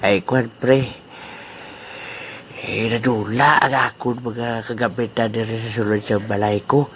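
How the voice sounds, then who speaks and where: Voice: medium pitch, steady tone, slight background noise, lightly acted. A performer doing a grumbling old man who has been robbed. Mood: aggrieved. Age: over 60. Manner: complaining and mournful, quick to anger. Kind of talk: amateur radio-play acting.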